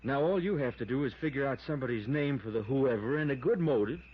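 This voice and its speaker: An American actor playing a matter-of-fact small-town deputy in a 1950s radio drama, casual and plain-spoken. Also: male voice, medium pitch, expressive, fast talker, natural voice. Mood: wry. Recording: dull, noisy old radio recording.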